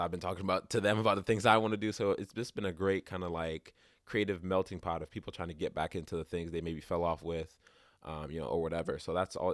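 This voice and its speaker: A young man with a low, congested voice talks through his solo podcast in a loose, rambling way, starting over and circling back mid-thought. He sounds a bit sniffly from a cold. The sound is dry and close to the mic. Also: fairly bright tone, no room sound. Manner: rambling, many restarts and fillers. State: stuffed up, sniffly. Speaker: podcast host. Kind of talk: casual solo podcast talk.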